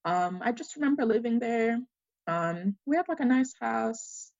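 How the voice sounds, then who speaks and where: A young woman with a gravelly, fry-laden voice answers interview questions in a mixed Zambian accent with Australian and American traces. She pauses often on "um" as she thinks. The sound is dry and somewhat dull. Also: fairly high pitch, lively intonation, darkish tone, no room sound. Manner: hesitant, many "um" pauses. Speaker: guest.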